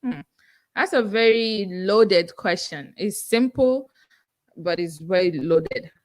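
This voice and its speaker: A woman's voice: mid-range voice, slow. A young woman with a Nigerian accent hosting her own podcast, answering listener questions in an earnest, self-encouraging way with frequent fillers. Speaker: podcast host. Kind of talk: solo livestream Q&A.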